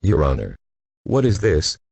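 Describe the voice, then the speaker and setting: An actor playing a formal, argumentative, sarcastic district attorney in a radio courtroom drama. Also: male voice, very deep voice, huge pitch swings, a little slow, vocal fry, loud music behind the voice, full character voice. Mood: impatient. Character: pompous, impatient prosecuting district attorney. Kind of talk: radio play courtroom acting.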